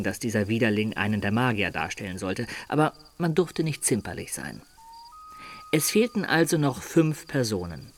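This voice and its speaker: An actor playing a wry medieval adventurer who recounts his quest in a radio drama, in an ironic, expressive storytelling manner. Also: mid-range voice, lightly acted. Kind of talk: German radio drama narration.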